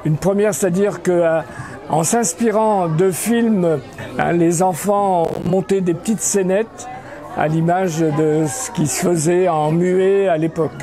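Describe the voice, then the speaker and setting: A man's voice: high voice, fairly even intonation, a little slow. A man giving hesitant, unscripted remarks in an interview. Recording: music playing behind.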